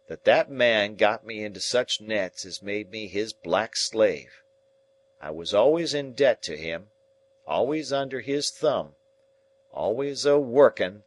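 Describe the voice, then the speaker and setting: A rough old convict, over sixty, telling his past in a broken, ungrammatical way. A male reader voices him low and very slow, giving each phrase plenty of weight. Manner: rough, ungrammatical dialect speech.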